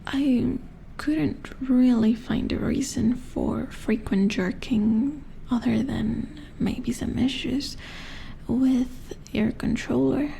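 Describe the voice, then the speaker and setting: Female engineer played by a woman for ASMR robot-repair roleplay; mellow, very creaky whisper, unhurried, mildly curious, thinking aloud. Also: a little high, slight background noise, full character voice, low-quality recording. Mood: matter-of-fact, explaining. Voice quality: breathy whisper with creaky edges. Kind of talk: soft-spoken ASMR roleplay.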